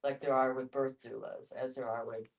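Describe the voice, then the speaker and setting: Female discussion participant, strikingly deep voice, distant mic, conversational delivery. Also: flat delivery, moderate pace. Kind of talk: casual group discussion. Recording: distant microphone.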